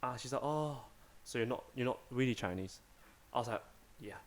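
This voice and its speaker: A young man with a mid-range voice co-hosts a podcast. He talks in a relaxed, easygoing way and explains things at an unhurried conversational pace. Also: medium pitch. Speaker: podcast co-host. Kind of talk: casual chat.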